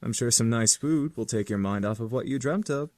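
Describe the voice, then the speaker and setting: A man's voice: mid-range voice, a little fast, a little mellow, lightly acted. An actor playing a polite, caring young male demon who serves as a butler. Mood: polite concern.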